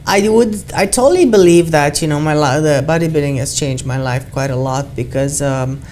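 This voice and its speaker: A woman's voice: low voice, moderate pace, darkish tone. Romanian lady cohost chatting casually, keeps restarting her sentences, faint background hiss. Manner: rambling, self-correcting, laughs often. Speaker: co-host. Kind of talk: casual conversation.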